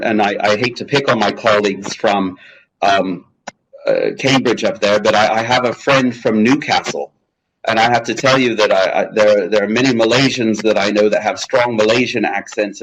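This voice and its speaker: Chatty man, low voice, many restarts. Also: noisy background. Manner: restarts often, chatty and jokey. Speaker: guest speaker on language testing. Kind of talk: webinar answering questions.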